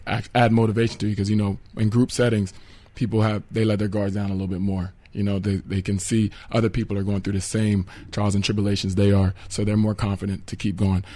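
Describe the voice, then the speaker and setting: A man giving calm, matter-of-fact fitness advice as a guest on a radio talk show in a studio. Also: on the low side.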